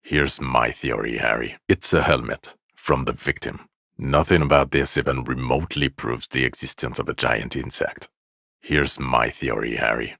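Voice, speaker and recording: A jaded male cop from a video game, with an extremely low, gravel-edged voice. He is sarcastic and irritable, delivering his lines in a weary, scornful deadpan. The sound is close and dry. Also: no room sound, exaggerated voice.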